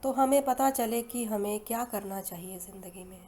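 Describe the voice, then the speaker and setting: An Indian woman leading a guided hypnosis meditation in slow, soothing, unhurried phrases with long pauses between them. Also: medium pitch. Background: soft meditation music.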